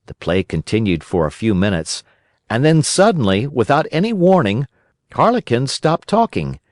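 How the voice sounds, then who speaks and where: A man narrating a children's storybook in a gentle, expressive storytelling manner. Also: medium pitch, moderate pace, no echo, clean studio sound. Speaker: audiobook narrator.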